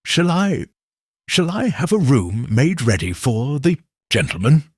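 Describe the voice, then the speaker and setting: A man's voice: mid-range voice, sing-song, unhurried, breathy edge, dry recording, clean studio sound, pitched above the performer's own voice. A man voicing a timid, nervous male manservant who murmurs and stammers in a storybook reading.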